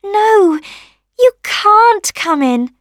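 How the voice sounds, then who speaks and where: A woman's voice: very high voice, sing-song, drawn-out words, fairly bright tone, no echo, clean studio sound, voice pushed up, heavily acted. A woman voicing a timid but firm little rosebud girl in a children's storybook, with emphatic, childlike delivery. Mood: firm refusal.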